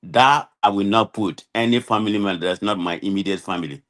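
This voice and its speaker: A man with a deep voice offers his personal opinion as a guest. He talks off the cuff, repeating words for emphasis and padding his points with conversational phrases. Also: a little fast, a bit bright. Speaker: guest on a panel discussion.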